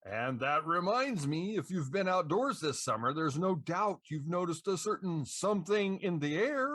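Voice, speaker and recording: A man's voice: a little high, expressive, moderate pace, a bit bright, dry recording, clean studio sound. A man hosting a podcast with scripted banter and introductions, in a playful DJ style. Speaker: podcast host.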